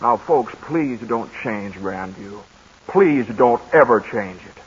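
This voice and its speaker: A man's voice: mid-range voice, animated, slow pace, breathy edge. An actor playing an eager young insurance salesman in a 1940s radio play, stammering and earnest. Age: young adult. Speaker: insurance man new to town. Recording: muffled 1940s radio broadcast.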